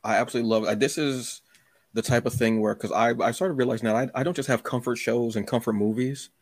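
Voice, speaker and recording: A man's voice: medium pitch, steady tone, fast talker, no echo. A man hosting a casual, conversational interview, often restarting his phrases and acting out little asides. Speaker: podcast host.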